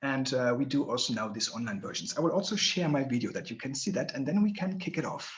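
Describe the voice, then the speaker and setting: A man's voice: medium pitch, expressive, fast. A man from Germany, speaking English as a while hosting a live online tour over a video call, chatty and friendly with many fillers. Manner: friendly, chatty, slightly non-native phrasing with fillers. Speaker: tour guide and host.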